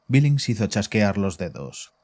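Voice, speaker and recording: An actor narrating a horror audio drama and playing its composed psychiatrist, measured and grave in a deep, dark voice. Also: male voice, sing-song, full character voice. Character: composed, probing male psychiatrist who also narrates. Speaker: narrator and doctor.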